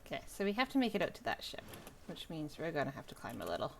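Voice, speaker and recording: A woman's voice: deep voice, wildly swooping pitch, quick pace, vocal fry, slight background noise. A woman game streamer giving casual live commentary as she plays. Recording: game music behind voice.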